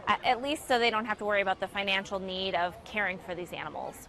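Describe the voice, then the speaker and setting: A zoo staff member speaking plainly in a news interview soundbite. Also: female voice, mid-range voice, quick pace, gravelly, bright, forceful tone.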